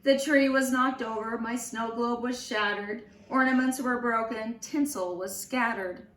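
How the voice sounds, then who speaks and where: A woman reading a children's storybook aloud in an expressive, animated storytelling manner. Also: on the high side. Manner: slow, animated storytelling with acted voices. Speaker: storybook reader. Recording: roomy, distant mic with faint noise.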